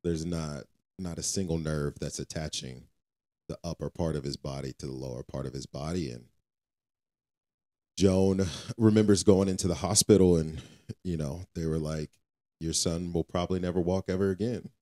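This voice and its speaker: Very deep, mellow-voiced man. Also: normal speed, no echo, clean studio sound. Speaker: podcast co-host. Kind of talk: casual faith podcast chat.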